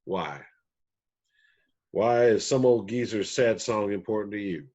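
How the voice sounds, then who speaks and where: A man reads the part of a weathered older bandleader in a country-music drama. He is folksy, wistful and guarded, with a lowish voice. The sound is a little dull. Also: measured pace, darkish tone. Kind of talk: screenplay table read.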